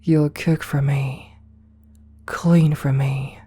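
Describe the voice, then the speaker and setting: A woman playing a possessive, seductive and domineering rich CEO in an ASMR roleplay, speaking in a soft, breathy near-whisper with slow, coaxing, condescending affection. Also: extremely low pitch, fairly even intonation, heavily acted. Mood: possessive, soothing. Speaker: voice actor in an audio roleplay. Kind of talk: ASMR roleplay, intimate slow address to listener.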